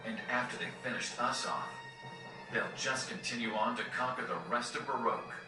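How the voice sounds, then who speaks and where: A man's voice: mid-range voice, steady tone, normal speed, natural voice. A man playing a grave, pragmatic rebel leader in a video game, sober and matter-of-fact. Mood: grim. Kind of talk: dramatic video game cutscene acting. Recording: very echoey, distant, music behind.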